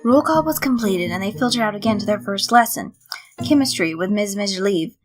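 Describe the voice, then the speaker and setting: A woman's voice: mid-range voice, animated, a little fast. A woman reading a piece of fan fiction aloud as scripted story narration. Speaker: fan fiction audiobook reader. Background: background music.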